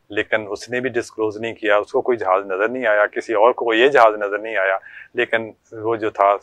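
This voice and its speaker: A man's voice: lowish voice, a bit bright, no room sound. An older man with a Pakistani accent telling stories from his working life as an interview guest, in a conversational way with reported dialogue. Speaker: guest, former air traffic controller.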